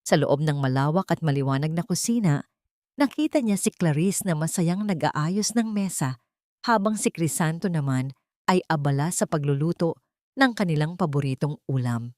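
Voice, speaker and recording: A woman narrating a scripted dramatic story in a measured, solemn and sentimental manner. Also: sits low, expressive, darkish tone, dry recording, studio quality. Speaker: narrator of audio drama stories.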